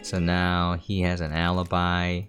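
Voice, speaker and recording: A woman's voice: very deep voice, completely flat delivery, a little slow. A woman giving casual gameplay commentary as the host of a game preview. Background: game music.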